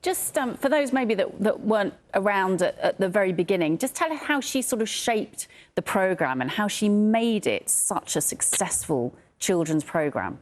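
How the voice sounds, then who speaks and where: A woman's voice: medium pitch, expressive, moderate pace. A woman with a British accent, working as a news presenter, chatting and interviewing on a broadcast.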